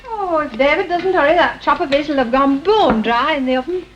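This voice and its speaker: Actress playing a high-voiced, fretting Northern English mum. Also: female voice, brisk, lo-fi sound, close to an everyday voice. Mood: fussing. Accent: Northern English, Lancashire. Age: middle-aged. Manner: homely, chiding, fussing. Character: fond, fretting working-class mother keeping the peace. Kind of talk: 1960 British television soap acting. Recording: noisy 1960 television soundtrack.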